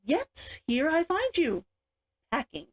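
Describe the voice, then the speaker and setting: A woman's voice: high-pitched, huge pitch swings, takes it slowly, pitched above the performer's own voice, full character voice. A woman reading the part of a stern, domineering older sister in a storybook, cold and clipped. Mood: accusing.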